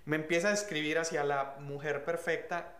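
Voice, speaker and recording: A man's voice: on the high side, fairly even intonation, normal speed, a bit bright, reverberant. A young man telling a shared love story in an easygoing, affectionate way. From Colombia. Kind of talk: casual storytelling.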